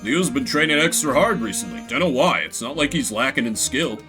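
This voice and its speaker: A man's voice: medium pitch, expressive, a little fast, lightly acted. A man voicing a casual, eager rodent castle worker in a video game. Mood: puzzled. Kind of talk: voiced story video game dialogue. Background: music.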